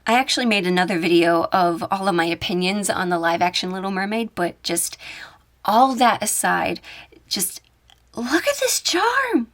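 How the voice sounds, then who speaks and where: Chatty woman, medium pitch, doing a casual enthusiastic show and tell for her channel. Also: sing-song, normal speed, a bit bright. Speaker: YouTube audio creator showing her collection.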